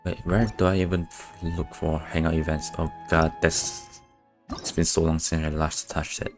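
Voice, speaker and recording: A man's voice: extremely low pitch, level tone, normal speed. A man giving casual, unscripted live gameplay commentary as a streamer, muttering to himself. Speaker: game streamer. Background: faint game music.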